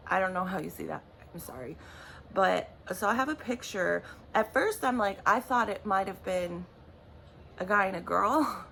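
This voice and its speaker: A woman giving a tarot reading in a chatty, rambling way, apologizing often, her voice raspy from just waking up. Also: on the low side, a bit bright, slight background noise. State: raspy morning voice. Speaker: tarot reader. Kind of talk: casual vlog talk.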